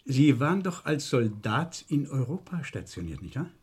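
Needling police inspector, 1970s radio crime drama. Lively, swooping intonation, husky tone, slyly polite. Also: male voice, medium pitch, normal speed. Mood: needling, controlled. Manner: ironic, insinuating, probing. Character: shrewd, mock-courteous male police inspector, needling suspects. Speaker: actor. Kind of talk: 1970s German radio crime play acting.

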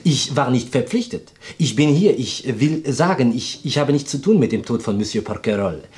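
An actor playing a nervous, theatrical pianist in his twenties in a radio play, with a foreign accent and gushing, halting speech. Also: medium pitch, animated, darkish tone, lightly acted. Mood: defensive, pleading. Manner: gushing, halting, melodramatic, inverted word order.

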